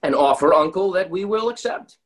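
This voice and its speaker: A man's voice: high voice, animated, brisk. A man reading the part of an ambitious, resolute nobleman aloud.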